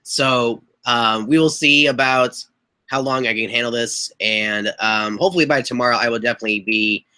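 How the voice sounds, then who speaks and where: A young man hosting a casual live chat on a webcam, hoarse and stuffy from a cold, rambling with fillers and clearing his throat. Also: mid-range voice, steady tone, normal speed, sharp tone. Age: young adult. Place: small bedroom with posters on the walls. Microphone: webcam mic at desk distance.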